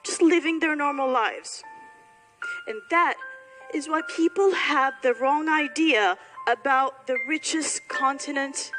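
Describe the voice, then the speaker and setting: High bright woman from somalia giving a speech, punchy and repetitive, big echoey hall, faint music behind. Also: slow. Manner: emphatic, rhetorical repetition. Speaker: speaker addressing graduates. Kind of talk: public speech.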